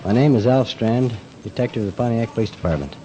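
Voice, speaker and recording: A man giving a formal, somewhat halting prepared statement as an official on an old radio program. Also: medium pitch, warm tone. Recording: dull, noisy old location recording.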